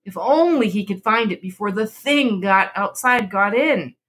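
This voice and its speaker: A woman's voice: mid-range voice, animated, unhurried, fairly bright tone. A woman hosting a podcast, moving between dramatic story narration and casual chat. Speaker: podcast host and story reader.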